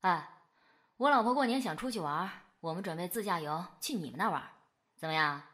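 A woman's voice: lowish voice, lively intonation, brisk, fairly bright tone. A woman acting an easygoing, teasing rich businessman in a Chinese radio drama.

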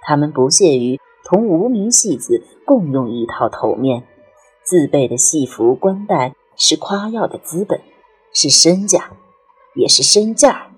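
A woman a breathy voice and reads literary narration in a measured, expressive, dramatic way, as an audiobook narrator. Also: low voice. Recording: music under the voice. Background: background music.

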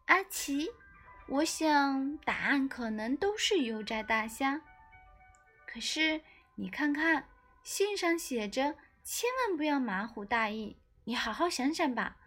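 A woman voicing a gentle, sensible storybook friend who advises in a measured, agreeable way. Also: high-pitched, animated, soft background music, exaggerated voice. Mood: gently advising.

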